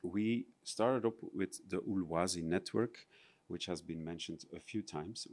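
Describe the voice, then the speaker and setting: Low-voiced Belgian man presenting. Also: unhurried, a little mellow. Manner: hesitant, many fillers and restarts, non-native phrasing. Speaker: conference presenter. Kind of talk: conference presentation.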